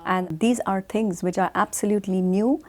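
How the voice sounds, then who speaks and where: A middle-aged woman from India answering interview questions in a measured way, often pausing with fillers. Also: mid-range voice, steady tone, darkish tone. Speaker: guest.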